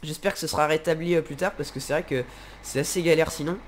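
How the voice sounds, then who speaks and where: A man's voice: fairly high pitch, steady tone, quick pace, a little hiss or hum. A man with a nasal, stuffed-up voice from a head cold, giving casual, hesitant let's play commentary as he thinks aloud. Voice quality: congested, nasal. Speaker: gaming video host.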